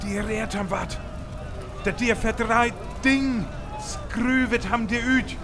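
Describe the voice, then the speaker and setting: A man's voice: very high-pitched, slow pace, exaggerated voice. A man playing an agitated onlooker calling out in a noisy radio play scene. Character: agitated male onlooker at a crash site. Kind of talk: radio play crowd acting.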